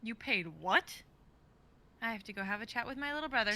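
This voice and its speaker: A young adult woman acting out animated dialogue in a dramatic script reading. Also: on the high side, brisk.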